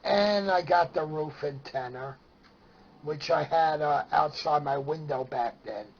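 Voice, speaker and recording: A middle-aged man with a Brooklyn accent and a raspy, gravelly voice, telling stories casually and excitably, acting out remembered dialogue. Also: on the high side, measured pace. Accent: Brooklyn New York. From Brooklyn, New York. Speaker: interview guest. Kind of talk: animated casual storytelling. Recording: dull lo-fi sound.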